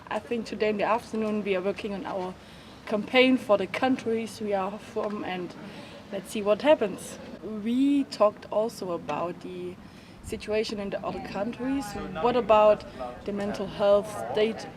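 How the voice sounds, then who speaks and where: A woman, speaking in a casual interview and pausing to find her words. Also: medium pitch. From Germany. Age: 20s. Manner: thoughtful, pauses to find words. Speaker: youth rally participant. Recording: noisy outdoor recording.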